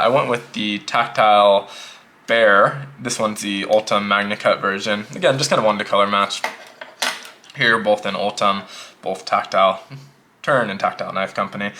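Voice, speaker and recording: A man casually reviewing a notebook pouch in a relaxed, rambling way, a little sick and slightly hoarse. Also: lowish voice, slight background noise. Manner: relaxed, rambling, repeats himself. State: losing his voice, a bit sick. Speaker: EDC gear reviewer.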